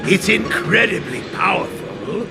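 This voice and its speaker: Eccentric master craftsman for a game: very high register, unhurried, excitable, grandly theatrical with archaic verse. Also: creaky, loud music behind the voice, exaggerated voice. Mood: boastful, theatrical. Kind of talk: video game character acting.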